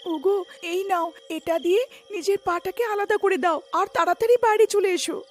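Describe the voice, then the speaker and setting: A woman's voice: very high-pitched, normal speed, darkish tone, faint music behind, pitched above the performer's own voice. A woman voicing an anxious village wife in a cartoon story, pleading and urgent.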